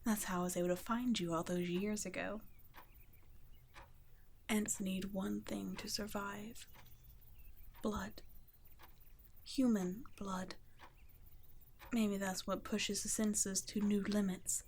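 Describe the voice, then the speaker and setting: A woman voicing a gentle, guilt-ridden vampire guardian in an ASMR roleplay, speaking in a soft, breathy half-whisper with a hushed, halting, tender delivery. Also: medium pitch, flat delivery, a little hiss or hum. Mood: hushed, reluctant. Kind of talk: ASMR roleplay, scripted intimate monologue.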